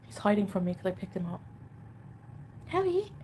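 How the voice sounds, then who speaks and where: A woman chats with her viewers on a home livestream, rambling from one point to the next and getting defensive about comments. Her voice is mellow and sits at an ordinary middle pitch. A faint hiss stays low under her talk. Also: sing-song, moderate pace. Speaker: livestream host. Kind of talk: casual livestream chat reading comments.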